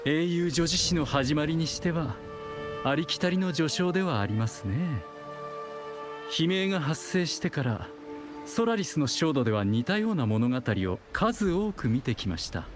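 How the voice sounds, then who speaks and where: An actor playing a detached, wry chronicler in a video game, narrating in a polite, formal and measured way. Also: male voice, on the high side, lively intonation, over music, close to an everyday voice. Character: composed, detached male chronicler narrating a heroine's saga.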